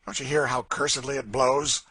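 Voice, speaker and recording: A man voicing a brutal, frightened plantation master who blusters, curses and stammers loudly. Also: mid-range voice, steady tone, normal speed, a crowd in the background, pitched above the performer's own voice, natural voice. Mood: blustering, scared. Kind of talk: audiobook character voice.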